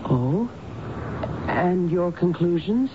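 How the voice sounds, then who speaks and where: A genteel, troubled married woman in a radio drama, who speaks very slowly and with careful politeness. Her voice comes through a dull broadcast recording with clear background noise. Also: lowish voice, lively intonation. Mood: polite, curious.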